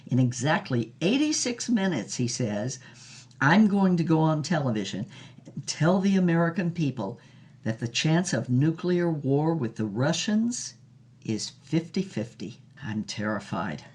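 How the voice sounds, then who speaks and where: An actress playing a worldly old former film star, telling her tales frankly and theatrically in a dramatised interview, with deliberate dramatic pauses. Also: low voice, big pitch swings, a little mellow, breathy edge, full character voice. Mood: dramatic, confiding. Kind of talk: dramatised interview, storytelling monologue.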